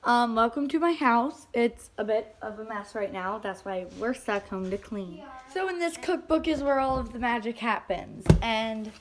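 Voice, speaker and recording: A woman's voice: on the high side, animated, normal speed, hardly any pauses, a little hiss or hum. A young woman rambling casually and mocking herself while baking in her home kitchen. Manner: chatty, self-mocking, rambling. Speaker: vlogger. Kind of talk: casual vlog narration.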